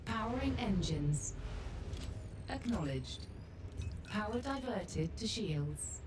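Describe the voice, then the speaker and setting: A woman's voice: medium pitch, lively intonation, takes it slowly, noisy background. A woman playing a dutiful starship crew officer in a game, giving formal, clipped military acknowledgements. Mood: dutiful, composed. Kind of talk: scripted game voice-pack acknowledgements. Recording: distant, loud music over voice.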